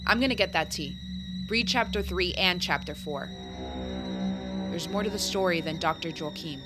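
An actress in an audio drama playing a grave, guarded middle-aged woman who recounts a dark family legend in a measured, solemn way. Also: fairly low pitch, close to an everyday voice. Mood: cryptic, firm. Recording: loud music underneath.

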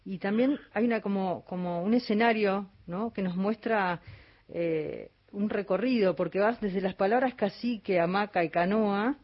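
A woman's voice: mid-range voice, normal speed, highs cut off. A woman with an Argentine accent co-hosting a radio interview, making thoughtful remarks. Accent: Argentine Spanish. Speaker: radio co-host.

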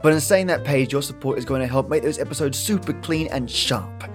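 A man's voice: mid-range voice, a little fast. A man with an Australian accent hosting a podcast, chatty and scripted, with an effusive, chipper manner. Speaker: podcast host. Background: guitar music.

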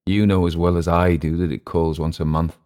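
An impatient, world-weary older man , voiced by a male narrator. He speaks low and brusquely, as an irritable character in a literary audiobook. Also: level tone, fairly quick, darkish tone, close to an everyday voice. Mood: irritated.

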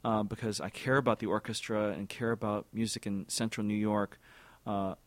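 A man in his forties, an orchestra music director, answering interview questions in a thoughtful, halting way with many repeated words and fillers. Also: fairly low pitch, steady tone, no room sound.

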